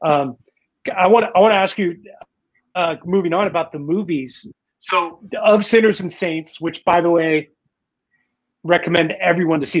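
A man in his forties hosts a live online interview from a room at home, his voice slightly high. He rambles through long questions, restarting and dropping in "you know", and the webcam audio sounds dull and lo-fi. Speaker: talk show host. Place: home room in Manila, indoors. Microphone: video call webcam.

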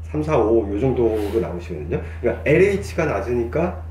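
A male doctor gives an explanatory consultation. His voice is mellow with lively intonation. It is recorded at a distance in an echoey room with faint noise. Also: mid-range voice, brisk. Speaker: clinic doctor. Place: small clinic office.

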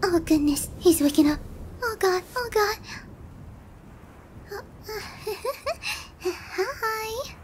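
A woman's voice: very high-pitched, slow pace, pauses often, a little hiss or hum. A woman voicing a haughty, flustered, cutesy young dragon girl in an anime ASMR roleplay, condescending yet hesitant. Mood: flustered, awkward. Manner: condescending, hesitant with giggles and murmured asides. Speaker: voice actor.

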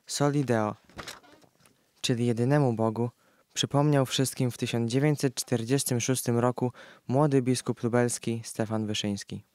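A man's voice: medium pitch, fairly even intonation, normal speed, darkish tone, dry recording, studio quality, lightly acted. A young man playing a solemn reader of religious history in a scripted radio play. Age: young adult.

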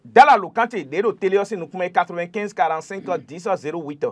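A man's voice: high voice, quick pace. A middle-aged Beninese man speaking emphatically and repetitively as a traditional healer promoting himself on a TV talk show. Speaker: traditional healer, studio guest. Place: TV studio. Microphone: lapel mic.

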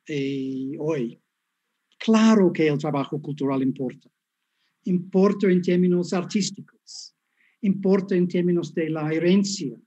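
Brief: 50s man, English native speaking Spanish with street Mexican-Colombian touches, unhurried, mellow and slightly husky, video-call lecturer. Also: sits high, highs cut off. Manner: self-deprecating, chatty, frequent tag questions. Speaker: guest professor. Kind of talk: academic talk over video call.

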